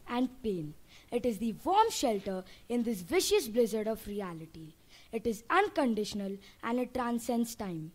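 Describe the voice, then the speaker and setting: A teenage girl reciting a lyrical introduction to a school play in an auditorium, with an expressive delivery. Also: fairly high pitch.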